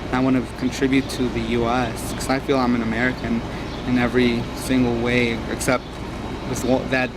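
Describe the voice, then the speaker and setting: Hesitant young man, flat voice, noisy street. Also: mid-range voice, a little fast. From Mexico. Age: young adult. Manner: hesitant, many fillers and restarts. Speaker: interviewee, student. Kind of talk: personal testimony. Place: outdoors at a rally. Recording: loud outdoor background noise.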